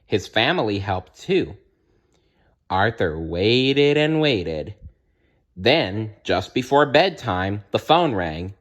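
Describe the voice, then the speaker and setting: A man reading a children's story aloud as narrator, with expressive delivery. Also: lowish voice, slow pace, dull audio. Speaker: storybook reader.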